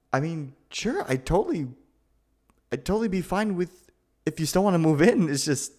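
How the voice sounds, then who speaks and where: A man acting as a nervous, animated young guy. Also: mid-range voice. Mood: flustered, happy. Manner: hesitant, stammering, flustered. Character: nervous, awkward, lovestruck young man, sweet and gentle. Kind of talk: ASMR boyfriend roleplay acting.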